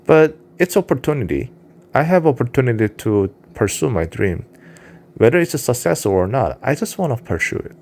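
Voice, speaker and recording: A man's voice: medium pitch, sing-song, normal speed, darkish tone. A man with a Korean accent, a writer who speaks English as a second language, talking candidly to his cat in a rambling, casual vlog monologue. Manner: rambling, candid, frequent fillers and restarts. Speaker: vlogger and self-published writer.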